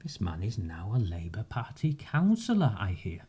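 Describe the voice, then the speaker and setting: A man, delivering a measured, sarcastic campaigning monologue with emphatic pauses. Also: lowish voice, wildly swooping pitch, mellow, husky. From northern England. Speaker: community campaigner. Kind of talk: direct-to-camera monologue.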